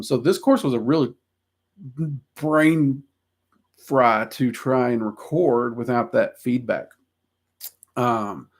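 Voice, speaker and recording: A man with a Southern US accent hosts a relaxed livestream, answering viewer questions at a loose, meandering clip. His voice sits in a mid range with a gravelly, creaky edge, and his talk is filled with ums and little jokes at his own expense. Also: mid-range voice. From Southern United States. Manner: rambling, self-deprecating, frequent ums. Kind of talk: casual livestream chat.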